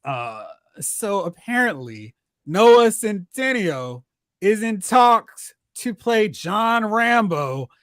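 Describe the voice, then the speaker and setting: A man hosting a casual chat about TV and film, telling stories in an animated, exclamatory way. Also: high-pitched, slow, no room sound, clean studio sound. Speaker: podcast host.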